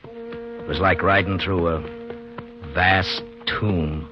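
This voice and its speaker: An American actor playing a weary, grave frontier marshal in a 1950s radio western, speaking in a measured, hesitant way. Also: male voice, low voice, huge pitch swings, full character voice. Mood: somber, reflective. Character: weary, conscience-troubled frontier US marshal, grown man. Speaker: lead actor and first-person narrator. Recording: dull 1950s radio recording, music behind.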